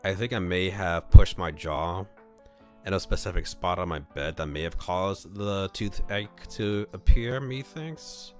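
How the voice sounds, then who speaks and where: Deep-voiced man chatting on a livestream. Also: a little slow, soft background music. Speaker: streamer reading a visual novel. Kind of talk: casual livestream chat and reading aloud.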